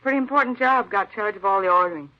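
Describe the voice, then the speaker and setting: An actress playing an opinionated, slightly defensive store employee in a 1950s radio drama, talking in an emphatic, colloquial way. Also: female voice, medium pitch, fast talker, lightly acted. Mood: casual. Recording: dull old-time radio recording.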